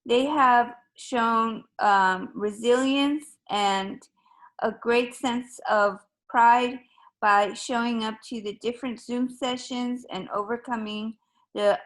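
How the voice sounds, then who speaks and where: A woman teacher from Ecuador presents her classes at a slow, deliberate pace. Her voice sits a little high, and she talks formally, pausing with an occasional um. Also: steady tone, fairly bright tone, poor audio. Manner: slow, measured and formal, with frequent ums. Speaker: high school Spanish teacher. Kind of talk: recorded open house presentation.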